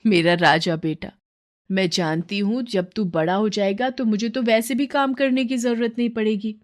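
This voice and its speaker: A woman voicing a humble poor mother who works as a maid, gentle, earnest and deferential, in a story. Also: mid-range voice, fairly even intonation, moderate pace, close to an everyday voice. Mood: tender, reassuring.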